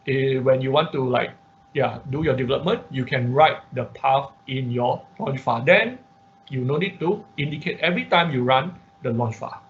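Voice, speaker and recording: Man teaching online, dull muffled audio. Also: medium pitch. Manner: halting, repetitive explaining with frequent tag questions. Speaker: instructor of an online robotics class. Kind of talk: informal live tutorial with screen demonstration. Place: online class call.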